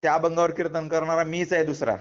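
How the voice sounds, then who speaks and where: A man's voice: a little high, little pitch movement, fast. A man from Latur with a Marathwada Marathi accent, giving his side of a dispute emphatically in a recorded phone interview, often repeating phrases. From Latur, Maharashtra, India. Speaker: interviewee, kirtan performer. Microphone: phone call. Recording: muffled lo-fi phone call recording.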